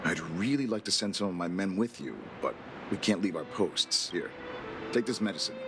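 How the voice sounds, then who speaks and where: A man voicing a steady, friendly military captain in a video game cutscene, matter-of-fact and helpful. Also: sits low, normal speed, background noise. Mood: supportive. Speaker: game character.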